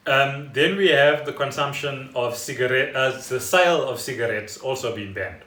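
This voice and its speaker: A man's voice: medium pitch, moderate pace, a bit bright. A South African man co-hosting a casual podcast, explaining things in a wry, conversational way. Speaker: podcast co-host. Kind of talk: casual chat explaining news. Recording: roomy, echoey home recording.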